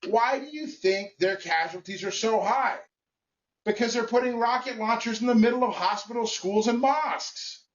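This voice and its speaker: A man giving a blunt, emphatic opinion monologue to camera, speaking in short phrases with pauses. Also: very high voice, far from the mic, lo-fi sound. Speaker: vlogger.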